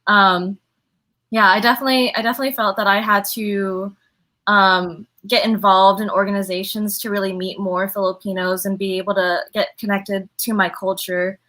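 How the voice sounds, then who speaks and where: A woman from the United States speaking as a panelist in a casual chat, hesitant and pausing often on "um". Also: medium pitch, little pitch movement, pushed and bright. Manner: hesitant, many "um" pauses. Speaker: panelist, engineer.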